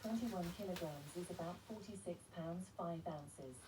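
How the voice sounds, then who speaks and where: A woman's voice: on the low side, moderate pace, dark tone. A synthetic woman's voice of a smart speaker assistant, giving spoken answers. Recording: distant small speaker in noisy room.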